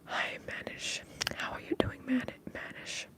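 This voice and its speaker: A woman from the upper Midwest putting on a Southern American accent, talking softly and haltingly as she hosts an ASMR bartender roleplay livestream. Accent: American, affected Southern. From upper Midwest, United States.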